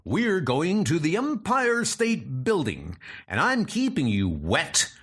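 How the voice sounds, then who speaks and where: A man's voice: fairly high pitch, wildly swooping pitch, takes it slowly, a bit bright, breathy edge, full character voice. A man playing a noble, mighty thunder god hero in a comic book dub, speaking in a formal, heroic, declamatory way. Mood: resolute.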